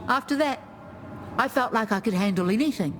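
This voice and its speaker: A woman playing a proud, wise ancestral chieftain in a video game, speaking in measured, dignified storytelling. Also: a little high, animated, background noise, close to an everyday voice. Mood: grave. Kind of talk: scripted game character monologue.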